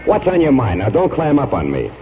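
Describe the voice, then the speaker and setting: An actor playing a bossy, exasperated cousin who scolds and warns in a 1940s radio drama. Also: male voice, mid-range voice, wildly swooping pitch, brisk, over music. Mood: wary, warning. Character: exasperated, scolding male cousin of an old colonel. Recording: dull, noisy vintage radio broadcast.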